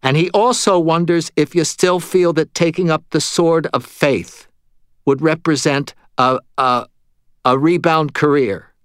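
A man's voice: a little high, fairly even intonation, unhurried, clear, resonant tone, no echo, clean studio sound, voice pushed up, natural voice. A man voicing a nervous, put-upon male medium in an audiobook, with a pleading, hesitant, apologetic manner. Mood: careful.

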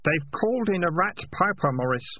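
A man's voice: high-pitched, lively intonation, a little fast, dry recording, phone-quality sound, voice pushed up. A man voicing a serious, determined young man urging his friends. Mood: urgent. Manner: serious, urgent.

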